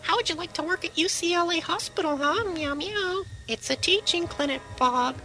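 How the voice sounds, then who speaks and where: A woman's voice: very high-pitched, moderate pace, sharp tone, heavily acted, rough recording. A woman voicing a sly talking cat in a comedy sketch, coaxing like a salesperson and meowing between phrases. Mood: salesy, coaxing. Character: sly talking cat recruiter, wheedling tempter.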